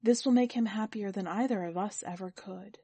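A woman reads as a calm machine intelligence in an audiobook story. It speaks formally and evenly, with grave weight, in a dry, close recording. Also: mid-range voice, moderate pace, husky, no room sound. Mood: even, gentle. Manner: formal, even delivery.

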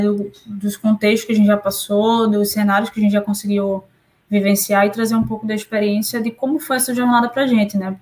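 A woman consultant speaking casually on a webinar, relaxed and hesitant, often repeating words. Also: mid-range voice. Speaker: consultant and Scrum Master.

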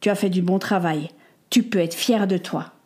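A woman voicing a kind, fatherly ship's carpenter who speaks gently and calmly. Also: fairly low pitch, normal speed, natural voice. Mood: gentle.

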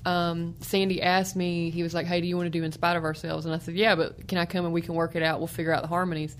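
Fast-talking young Southern woman. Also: lowish voice, level tone, slight background noise. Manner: chatty, upbeat, talks quickly. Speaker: guest musician. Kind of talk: casual interview chat. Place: museum studio.

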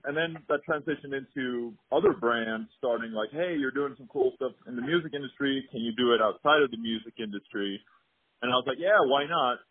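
A man from Sweden, telling his story in a relaxed, easygoing way as an interview guest. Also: medium pitch, dry recording. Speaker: guest, former music manager. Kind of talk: casual interview over phone. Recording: husky voice on a tinny, dry phone line.

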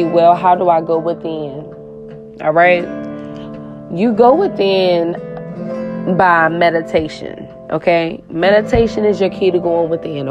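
A woman life coach with a raspy voice, giving a spiritual coaching talk and tagging her lines with "okay?". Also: lowish voice, dull audio. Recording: guitar music playing under the voice.

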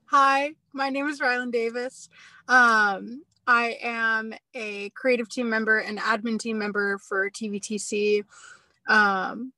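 A young woman on a theater team introducing herself hesitantly, with many pauses. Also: a little high, steady tone, sharp tone, no room sound. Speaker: theater company team member. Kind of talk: interview self-introduction.

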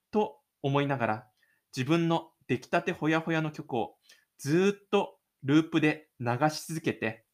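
Casual, chatty male host and storyteller. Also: on the high side. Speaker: host and narrator of his own audio drama. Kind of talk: audio drama narration and casual host chat.

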